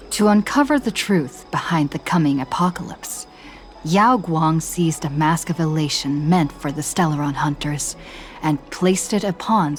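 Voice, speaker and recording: A low-voiced traveler recapping her journey. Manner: measured, even storytelling delivery. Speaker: story recap narrator. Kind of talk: scripted game story recap narration. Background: faint background music.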